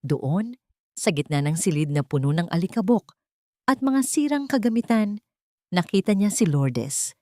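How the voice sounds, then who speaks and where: A woman with a fairly low voice narrates a dramatic story with tension in her reading. The recording is close and dry, with no room sound. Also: very animated, measured pace, long pauses, warm tone, no echo. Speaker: audiobook narrator.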